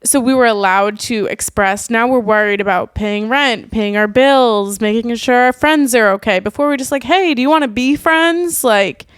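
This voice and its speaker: A woman's voice: fairly high pitch, normal speed, few pauses, smooth, no room sound, clean studio sound. A young woman from Boston co-hosting a podcast, reflective and questioning in casual chat. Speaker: podcast co-host.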